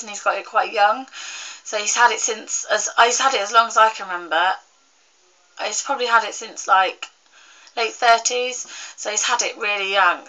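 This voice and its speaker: Bright-voiced young woman, bunged up. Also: medium pitch, rough recording. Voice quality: slightly croaky. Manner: rambling, many fillers and restarts. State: congested with a cold. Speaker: vlogger. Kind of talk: casual chat to camera.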